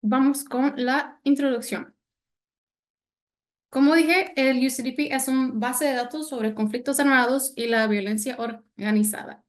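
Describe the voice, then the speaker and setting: Slow, high-voiced woman lecturing in careful Spanish. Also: fairly even intonation. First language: not Spanish. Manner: hesitant, many fillers, careful non-native Spanish. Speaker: guest speaker, senior analyst. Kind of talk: online lecture presentation.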